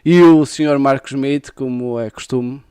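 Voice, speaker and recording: Man, European Portuguese accent, podcast host, casual, dry close sound, clear voice. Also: mid-range voice, fairly even intonation, moderate pace, darkish tone, no echo. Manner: chatty, laughs often, stumbles and restarts. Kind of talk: casual live interview.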